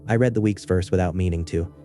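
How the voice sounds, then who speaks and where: A man narrating a scripted first-person horror story in a measured, understated way. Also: low-pitched, dark tone, faint music behind.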